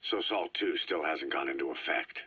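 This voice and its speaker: A world-weary legendary soldier from a video game. His voice is a raspy, forceful growl, sunk extremely low. He speaks in terse, brooding phrases through a cassette tape filter. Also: normal speed, heavily acted. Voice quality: gravelly, growling. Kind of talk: scripted dramatic game dialogue.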